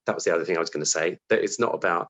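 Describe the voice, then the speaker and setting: A British man with a fairly low voice speaks as an interview guest. He is reflective and conversational, slipping into animated quotes of his own thoughts as he explains things. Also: very fast. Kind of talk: conversational interview answer, recounting own career.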